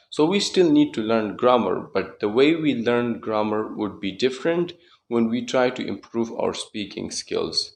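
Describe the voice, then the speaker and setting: A man's voice: mid-range voice, gravelly, a little hiss or hum. A young man who is not a native English speaker, explaining a language lesson earnestly to camera and repeating his key points. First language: non-native English speaker. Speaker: English teacher.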